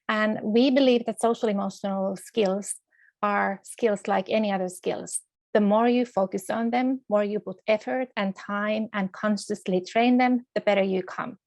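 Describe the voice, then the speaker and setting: A woman's voice: medium pitch, creaky, a little mellow, no echo. A woman from Finland, not an English speaker, giving an earnest, careful talk as a guest speaker. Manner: earnest, careful, slightly formal phrasing. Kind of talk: online presentation.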